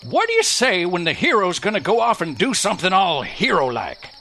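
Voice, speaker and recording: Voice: medium pitch, wildly swooping pitch, fairly quick, bright, forceful tone, slight background noise, full character voice. An actor playing a cantankerous, folksy old prospector in a blustering radio western comedy. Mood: flustered. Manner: blustering, folksy frontier slang.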